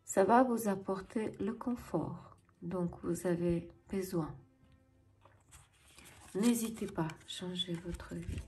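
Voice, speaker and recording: A woman with a Ukrainian accent giving a tarot reading to viewers as host, in deliberate, halting phrases. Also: fairly low pitch, a little mellow, a little hiss or hum. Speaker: tarot reader and channel host.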